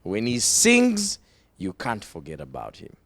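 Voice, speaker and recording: A man's voice: on the low side, huge pitch swings, gravelly, a bit bright. A man with a Ugandan accent hosting a talk show interview, switching between English and Luganda, drawing out words for emphasis and often laughing. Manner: emphatic, drawn-out delivery with frequent laughter.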